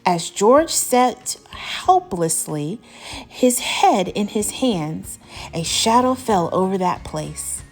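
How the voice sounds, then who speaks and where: A woman reading aloud as a teacher, telling a children's story in an expressive, deliberate way. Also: mid-range voice, soft background music. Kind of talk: animated children's storybook narration.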